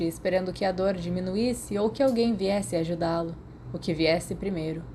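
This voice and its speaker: A woman's voice: medium pitch, warm tone, a little hiss or hum. A Brazilian woman reading a story aloud as a scripted audiobook narration. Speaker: podfic narrator.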